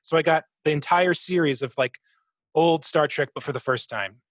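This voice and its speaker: A man's voice: a little high, normal speed, fairly bright tone, no room sound, studio quality. A man co-hosting a podcast, chatting casually about games, swearing freely and imitating sound effects. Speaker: podcast co-host. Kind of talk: casual gaming chat.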